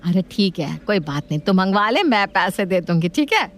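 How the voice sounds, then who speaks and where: A woman playing a doting, gullible grandmother with a homely, indulgent manner in an animated family story. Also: mid-range voice, normal speed. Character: kindly, gullible, doting grandmother and mother-in-law.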